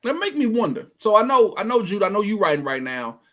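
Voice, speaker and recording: High-voiced slangy man hosting. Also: sing-song, quick pace, pushed and bright, dull audio. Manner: loose and slangy, many "man" and "you know what I'm saying". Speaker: interview host. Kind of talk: casual interview chat.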